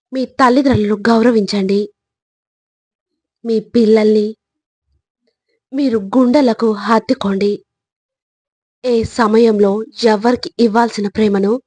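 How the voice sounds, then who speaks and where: Husky-voiced woman, even motivational narration. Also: fairly high pitch, no echo. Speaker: motivational speaker.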